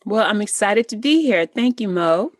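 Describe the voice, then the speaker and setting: A woman's voice: medium pitch, animated, normal speed, dry recording, studio quality. A middle-aged woman telling her story as an interview guest, candid and plainspoken.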